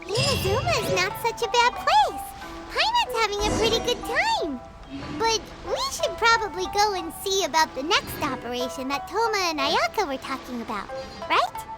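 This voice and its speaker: A tiny, perky fairy companion for a video game. The voice is squeaky and extremely high, bouncing around in a bubbly, childlike chatter. Loud jingly music plays behind it. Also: female voice, big pitch swings, normal speed. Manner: speaks of self in third person, bubbly. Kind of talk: English video game voice acting.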